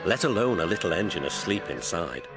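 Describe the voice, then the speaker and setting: A man's voice: low voice, big pitch swings, brisk. A man narrating a scripted children's story as a storyteller. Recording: music behind the voice.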